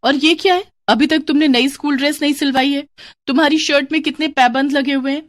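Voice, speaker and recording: A woman's voice: high voice, steady tone, brisk, no room sound, studio quality, pitched above the performer's own voice, close to an everyday voice. A woman voicing a strict, scolding female schoolteacher in a storybook tale, stern and demanding. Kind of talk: storybook character dialogue.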